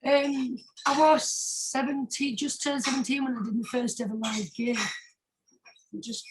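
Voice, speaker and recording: A British woman with a husky voice chatting casually as a singer being interviewed, informal and emphatic, often repeating herself for stress. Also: high voice, fairly even intonation, a little slow, rough recording. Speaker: singer-songwriter guest.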